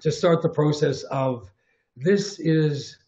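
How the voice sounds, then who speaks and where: Mellow-voiced Canadian man hosting. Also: on the high side. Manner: measured, earnest, slightly halting when unscripted. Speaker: artistic producer and host. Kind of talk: formal introduction then conversational interview. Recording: dull lo-fi audio with faint music.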